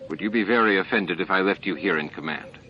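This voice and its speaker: Man reading as a calm low-voiced starship captain. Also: fast talker, lightly acted. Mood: calm, quiet. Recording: muffled, band-limited tape audio.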